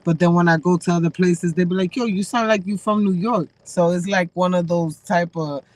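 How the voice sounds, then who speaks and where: A woman's voice: lowish voice, fairly even intonation, brisk, a little mellow, dry recording. A woman with a mix of a Dominican and a Massachusetts accent, chatting casually as a guest on a video call and using lots of slang and fillers. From Dominican family, raised in Massachusetts. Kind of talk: casual chat on a live call. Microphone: remote video call.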